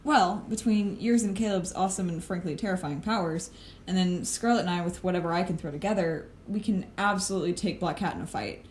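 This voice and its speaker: A woman's voice: on the low side, fairly quick, few pauses, a little mellow, close to an everyday voice. A woman acting a sardonic, matter-of-fact superpowered team planner in an audio drama. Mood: confident, plotting. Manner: casual, swearing, matter-of-fact. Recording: clear background noise.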